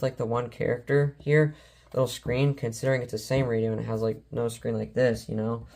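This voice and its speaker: A man talks casually through a collection like a hobby vlogger. He is enthusiastic and rambling, often doubling back and stumbling over his words. His voice sits at an ordinary middle pitch. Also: darkish tone. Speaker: collector showing weather radios and scanners. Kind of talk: casual collection showcase vlog.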